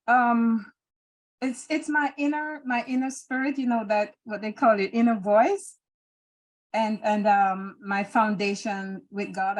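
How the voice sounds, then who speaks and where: A woman's voice: medium pitch, low-quality recording. A middle-aged Jamaican woman with a Jamaican accent, answering interview questions in a thoughtful, hesitant way with frequent restarts and fillers. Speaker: interview guest.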